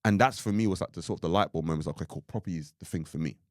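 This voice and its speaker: A man's voice: deep voice, racing, dry recording, studio quality. A young man from Ireland co-hosting a podcast, talking casually in a rambling way with lots of "like" and "you know what I mean". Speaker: podcast co-host.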